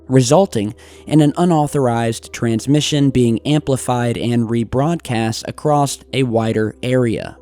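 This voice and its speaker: Mellow American male narrator over music. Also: mid-range voice. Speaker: YouTube host narrator. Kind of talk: scripted narration of a mystery.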